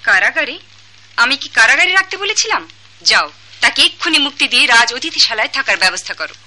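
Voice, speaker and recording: A young princess in a radio play, with a Bangladeshi accent. She is poised and used to giving orders, yet tender, in a very bright, clearly feminine voice with lively intonation. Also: high-pitched, fairly quick, exaggerated voice. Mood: imperious. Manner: poised, imperious with servants. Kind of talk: radio drama acting.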